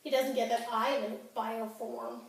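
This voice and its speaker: A woman's voice: fairly high pitch, level tone, moderate pace, lightly acted. A woman performing a theatrical monologue as an angry, wounded fifteen-year-old girl, melodramatic and sarcastic. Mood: hurt, sardonic. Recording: echoey room, distant microphone.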